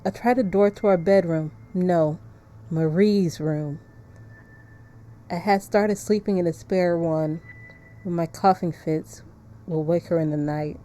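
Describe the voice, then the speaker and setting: A woman's voice: on the low side, measured pace, long pauses, dark tone. A woman streaming a visual novel, chatting casually and reading the story text aloud.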